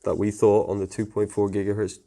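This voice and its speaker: A man's voice: fairly low pitch, completely flat delivery, a little fast, vocal fry, warm tone. A man giving a casual technical tutorial in an informal, plain-spoken, conversational way. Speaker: technical presenter explaining network setup.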